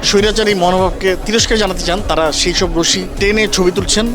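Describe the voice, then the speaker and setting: A man's voice: high voice, fairly even intonation, quick pace. A man with a Bangladeshi accent narrating a news report on location as a reporter. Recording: noisy crowd and music behind. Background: crowd noise and music.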